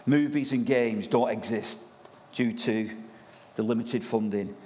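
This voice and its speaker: A man preaching in a church, loud and lively, chatting with the congregation as their pastor. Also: mid-range voice, measured pace. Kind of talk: sermon. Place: church hall. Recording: long reverb of a church hall.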